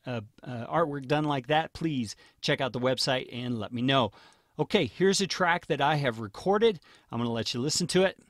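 A man's voice: mid-range voice, dry recording. Casual man narrating a tutorial, dry sound. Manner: plain, informal step-by-step explaining. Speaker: tutorial host. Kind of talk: casual screen-recording software tutorial narration.

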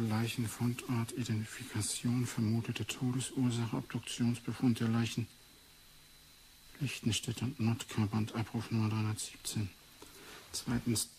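Grumbling scientist doctor man acting, medium-pitched, testy and muttering, 1980s radio play, faint noise. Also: darkish tone, natural voice, poor audio. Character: grumbling, reluctant male neuropsychology researcher and doctor. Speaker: researcher character in a radio play.

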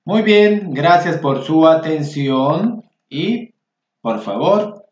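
A man's voice: on the high side, lively intonation, drawn-out words, darkish tone. A man teaching Spanish, reading workbook exercises aloud and patiently repeating phrases for learners. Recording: roomy, dull lo-fi sound.

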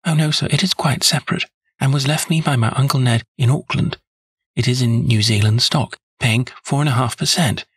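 A man's voice: medium pitch, a little fast, husky, dry recording, clean studio sound, pitched above the performer's own voice. A man voicing a nervous, chatty, good-humoured young woman in an audiobook story. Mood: chatty, earnest. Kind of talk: audiobook character voice.

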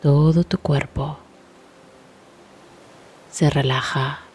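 A woman leading a guided relaxation meditation in a soft, breathy, near-whispered voice, soothing and gentle, with long pauses. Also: low voice, slight background noise. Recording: steady ambient sea sound behind voice. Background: sea waves ambience.

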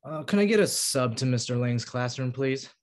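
A serious, officious campus cop in his forties, played by a man for a comedy table read. The voice is mid-pitched with lively intonation, stern and suspicious. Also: normal speed, close to an everyday voice. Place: indoor room, video call.

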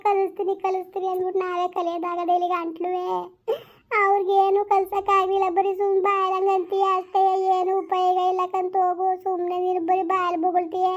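A woman voicing a distraught, childless young wife who wails and complains in slow, tearful, drawn-out lines. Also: very high voice, monotone, lo-fi sound. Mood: bitter. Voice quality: tearful, wailing. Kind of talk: village family drama acting.

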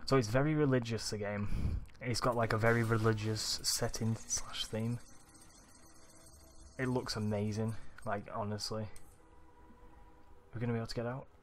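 A young man with a Manchester accent, rambling excitedly over a video game, his morning voice groggy and deep. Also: moderate pace, long pauses, a little hiss or hum. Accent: Manchester English. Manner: rambling, excitable, swears often. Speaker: let's play gamer. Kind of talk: casual gameplay commentary.

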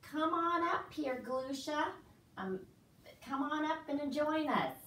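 A woman's voice: sits high, lively intonation, slow pace, pushed and bright, voice pushed up, exaggerated voice. A woman voicing a proud, cheerful puppet woman who explains things to an audience with exclamations and questions in an educational show. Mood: excited. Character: proud, cheerful Chugach Sugpiaq woman puppet in fur parka. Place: indoor room, puppet stage. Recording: roomy, distant mic, faint noise.

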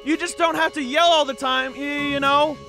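A man's voice: very high voice, steady tone, moderate pace, pushed and bright, soft background music, exaggerated voice. A man voicing an anxious, whiny teenage boy in a cartoon comedy, hesitant and stammering. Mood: whiny, protesting. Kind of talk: animated comedy dialogue.

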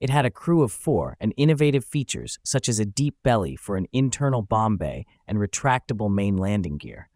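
A man narrating a scripted aviation history video in an even, factual reading. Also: fairly low pitch, a little fast, hardly any pauses, dry recording, clean studio sound.

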